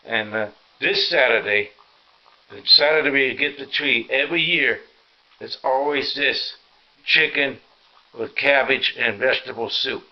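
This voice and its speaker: A tired, sore man gives a rambling, halting, casual narration as he hosts a home vlog. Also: medium pitch, muffled recording. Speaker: vlog host. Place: inside a house, walking through rooms.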